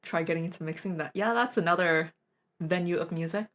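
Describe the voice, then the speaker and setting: A woman's voice: fairly low pitch, a little fast, heavy background noise. Rambling young woman, casual and self-mocking. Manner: rambling, self-deprecating, laughs often. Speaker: streamer teaching recorder. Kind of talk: casual live-stream chat.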